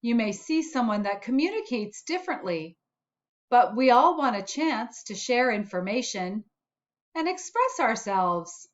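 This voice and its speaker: Woman reading as a slow, friendly storybook spot. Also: a little high, sing-song, dull audio. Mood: upbeat, encouraging. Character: friendly encouraging storybook spot, cheerful helper for children. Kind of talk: children's storybook narration.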